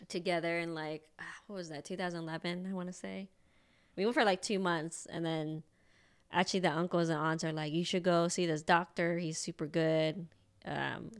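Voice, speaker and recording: A woman talks loosely on a podcast. She rambles along, full of fillers and small laughs, in a smooth, resonant voice pitched on the low side. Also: fairly bright tone. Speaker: acupuncturist podcast co-host. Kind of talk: casual chat.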